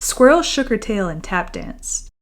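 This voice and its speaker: A woman children's author reading their own picture book aloud and chatting to young listeners in an expressive, careful storytelling manner. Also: medium pitch, measured pace.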